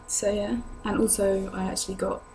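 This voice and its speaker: Hesitant young British woman, flat intonation. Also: medium pitch, normal speed. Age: young adult. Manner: rambling, hesitant. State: ill with a sore throat, voice lower than usual. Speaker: vlogger answering viewer questions. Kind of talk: casual chat to camera. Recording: noisy home recording with hum.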